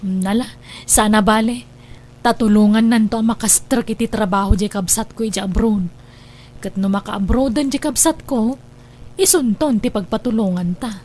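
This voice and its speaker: A woman's voice: fairly high pitch, moderate pace, a little mellow, a little hiss or hum, natural voice. A woman playing an insistent, coaxing older sister with schemes in a radio drama. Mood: scheming. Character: pushy, scheming married older sister urging brother abroad. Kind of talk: Ilocano radio drama acting.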